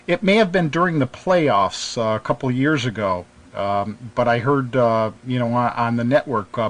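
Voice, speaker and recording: A man's voice: medium pitch, fairly bright tone. Casual radio host, American man, mid-range voice, hesitant and conversational. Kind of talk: casual hobby radio chat.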